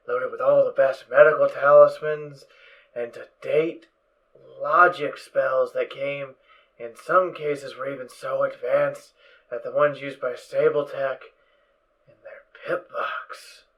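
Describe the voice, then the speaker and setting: A man playing a distracted, brainy ghoul pony scientist in an amateur audio drama, lecturing in a brisk, absorbed way. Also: medium pitch, fairly even intonation, highs cut off, close to an everyday voice. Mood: explaining.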